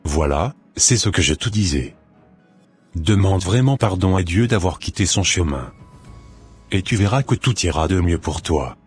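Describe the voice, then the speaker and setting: Man acting a devout stern pastor, very deep voice, solemn, preachy, soft music under. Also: lively intonation, normal speed, breathy edge, exaggerated voice. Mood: admonishing. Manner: solemn, preachy, fervent in prayer.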